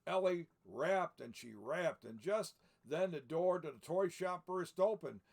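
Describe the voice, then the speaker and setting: An older man reads a children's picture book aloud as Santa. His voice is gravelly and a little high for a man. He drifts between storytelling and rambling, folksy asides to his listeners. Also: lively intonation, unhurried, a little mellow. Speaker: storyteller in the persona of Santa Claus.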